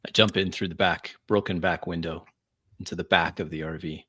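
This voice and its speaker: A man playing a tabletop roleplay game, narrating his actions in first person in halting pieces. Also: sits low, steady tone, pushed and bright.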